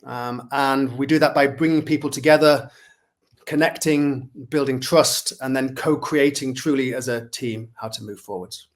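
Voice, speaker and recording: Brief Mancunian man, 40s, mid-pitched, friendly and self-deprecating, lots of ums, video-call webcam sound. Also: steady tone, normal speed. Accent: Mancunian English. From Manchester, England. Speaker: guest. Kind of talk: casual interview chat. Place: indoor room at home.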